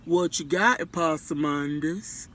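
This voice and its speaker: A woman's voice: sits low, unhurried, pitched below the performer's own voice. A woman voicing a nosy storybook alligator who questions skeptically. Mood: nosy, doubtful.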